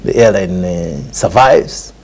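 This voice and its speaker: A man's voice: low voice, animated, takes it slowly, a little mellow. A man with a Ugandan accent answering conversationally as an air traffic controller in an interview.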